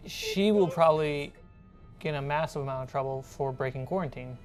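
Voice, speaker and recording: A woman's voice: sits low, lively intonation, fairly quick, a little mellow. A woman running a tabletop role-playing game as game master, narrating scenes and answering players. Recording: background music.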